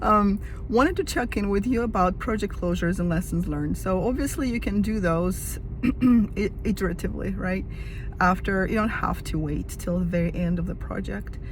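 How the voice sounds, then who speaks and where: Woman with a slightly rough voice. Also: mid-range voice, normal speed, slight background noise, rough recording. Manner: enthusiastic, chatty, frequent "right?" tag questions. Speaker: project management coach. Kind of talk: casual talk to camera.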